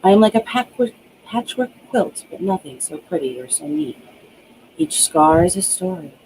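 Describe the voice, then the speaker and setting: A woman voicing a haunted, empty middle-aged asylum patient in a storybook reading, reciting slowly like an incantation. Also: lowish voice, big pitch swings, darkish tone, a little hiss or hum, low-quality recording. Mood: haunted, bleak.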